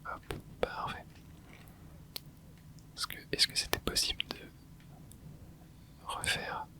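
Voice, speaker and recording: Young male ASMR gamer, steady close whisper, laid-back play-by-play. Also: slow. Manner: soft close whisper, relaxed running reactions. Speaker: ASMR gaming channel host. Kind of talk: whispered ASMR gameplay commentary.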